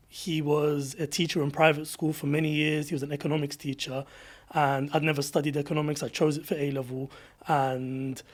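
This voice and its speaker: A man, speaking as a podcast guest. His voice sits a little higher than most men's. He talks in a relaxed, thoughtful way, pausing and restarting as he works through his answers. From London, England. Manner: reflective, with frequent restarts and fillers. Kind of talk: casual interview chat.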